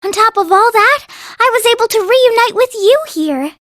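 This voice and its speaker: Sweet teen anime game princess, super high voice, polite n proper, dry studio sound. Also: female voice, lively intonation, normal speed, a bit bright, no echo. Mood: cheerful, playful. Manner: refined, genteel, formal phrasing. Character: sweet, poised teenage princess putting on a brave face. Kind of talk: anime game dub acting.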